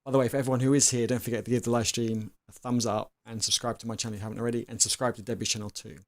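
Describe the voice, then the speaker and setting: A man with an average-pitched voice hosts a live stream over a video call. He talks in a loose, casual way, stumbling over repeated words and acting out what people say with animated swings. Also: rapid-fire.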